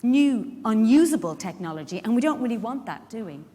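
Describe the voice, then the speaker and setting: A woman's voice: fairly high pitch, expressive, brisk, dark tone, close to an everyday voice. An Irish woman actor playing a chatty, frank, wry carer in a theatrical monologue, putting pointed questions to her listeners. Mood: earnest, persuasive. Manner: conversational, pointed rhetorical questions. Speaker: actor in a dramatic reading. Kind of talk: theatrical monologue addressed to a live audience. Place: large indoor hall at a public event. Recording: echoey hall, live stage reading.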